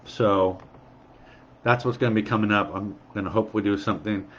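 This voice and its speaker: A man's voice: on the low side, steady tone, a little fast, a bit bright, dull audio, a little hiss or hum. A man with a sniffly cold, giving an earnest recovery sermon and repeating key lines for emphasis. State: sniffly, under the weather. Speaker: recovery devotional speaker.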